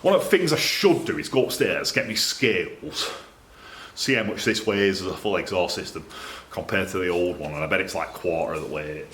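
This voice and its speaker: A man's voice: sits low, lively intonation, fairly quick, fairly bright tone, slight background noise. A man with a Northern English accent talking casually to camera in a workshop, informal and rambling as he thinks aloud. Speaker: exhaust fabricator and video presenter. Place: indoors in a small cluttered workshop. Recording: roomy, echoey workshop sound.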